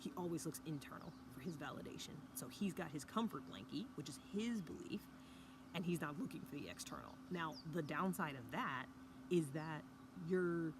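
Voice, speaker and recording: A woman talking casually to camera in a hushed early-morning voice, recording outdoors by a fire pit with a mountain view. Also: sits low, animated, moderate pace. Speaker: vlogger. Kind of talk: casual reflective talk to camera. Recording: outdoor ambience with background noise.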